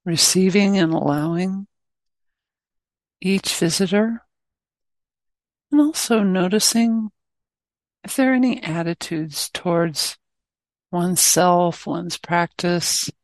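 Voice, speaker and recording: A woman teacher leading a guided meditation, speaking in short, gently inviting phrases with pauses between them. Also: lowish voice, no room sound, poor audio.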